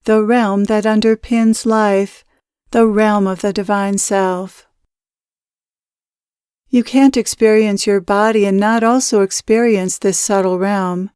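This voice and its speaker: Woman doing a guided meditation, really clear smooth voice, dry close sound, measured and deliberate. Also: mid-range voice, a little mellow, dry recording. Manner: measured, deliberate, instructional phrasing. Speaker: spiritual channeler and meditation guide. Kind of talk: guided meditation, scripted spiritual teaching.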